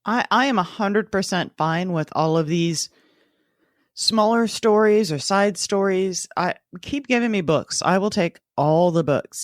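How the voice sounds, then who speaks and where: A woman's voice: on the low side, no echo, clean studio sound. A woman co-hosting a book podcast, talking casually and with a playful, jokey manner. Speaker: podcast co-host. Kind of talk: casual book-club discussion.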